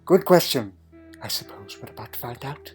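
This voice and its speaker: A man's voice: mid-range voice, animated, moderate pace, a little mellow, soft background music, natural voice. A man playing a crotchety, dry-witted elderly time traveller in a fan audio drama, fussy and full of questioning hums. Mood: wry, uneasy. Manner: fussy, punctuated with questioning hmms.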